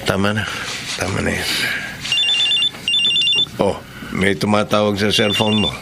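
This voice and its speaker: An actor playing a steady, consoling Filipino male confidant in radio drama style, gently firm and measured. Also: lowish voice, vocal fry, very noisy.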